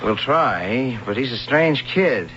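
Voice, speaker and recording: An American man playing a gruff, impatient suburban husband in an old-time radio drama, brusque and exasperated. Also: medium pitch, expressive, slow pace, pushed and bright, a little hiss or hum. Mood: stern. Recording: dull old radio broadcast, faint music.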